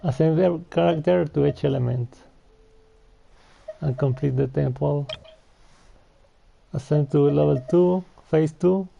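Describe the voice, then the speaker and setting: Slow, slightly high-voiced man playing games. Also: vocal fry, highs cut off. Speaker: video game streamer. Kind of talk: casual gameplay commentary, reading on-screen text aloud.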